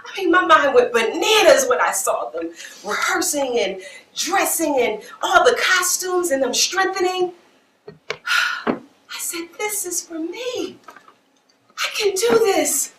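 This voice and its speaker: An actress in a stage play playing an outspoken, animated woman dancer with an emphatic, theatrical delivery. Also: extremely high pitch, slow, recorded from a distance, heavily acted. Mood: excited, reminiscing. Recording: roomy, noisy stage recording from afar.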